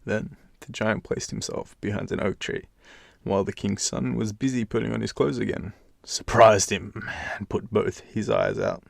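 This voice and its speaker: A man's voice: lowish voice, animated, moderate pace, vocal fry, dry recording, studio quality. A man with an Australian accent narrating a bedtime fairytale expressively, as a storyteller. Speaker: storyteller, channel host.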